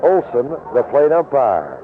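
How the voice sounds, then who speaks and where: A man's voice: mid-range voice, very animated, a little slow. Noisy old radio baseball announcer, man. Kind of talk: live sports commentary. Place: outdoor ballpark broadcast booth. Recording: noisy narrow-band 1970s AM radio broadcast.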